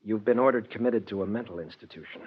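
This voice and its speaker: A male actor playing an earnest, persuasive lawyer and loyal friend in 1940s radio drama, with a husky, sincere, pressing delivery. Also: on the low side, fast talker, a little hiss or hum, natural voice. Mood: measured. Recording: dull vintage 1940s radio recording.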